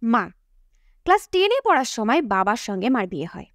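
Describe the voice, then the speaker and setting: A woman's voice: on the high side, big pitch swings, moderate pace, a bit bright, dry recording, studio quality. A woman reading a novel aloud as an audiobook narrator in a scripted storytelling style.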